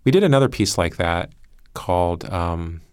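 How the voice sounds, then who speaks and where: Deep-voiced man in reflective interview. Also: lively intonation, normal speed, noisy background. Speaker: podcast creator and producer.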